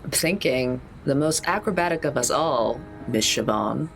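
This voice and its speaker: A woman's voice: extremely low pitch, animated, moderate pace, vocal fry, faint music behind. A woman bantering casually as a player in a tabletop role-playing game.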